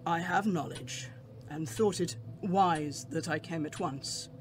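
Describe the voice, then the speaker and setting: An actor playing a grave, commanding professor who hunts vampires in a radio drama, solemn and formal in delivery. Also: male voice, on the high side, takes it slowly, very noisy. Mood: solemn, urgent.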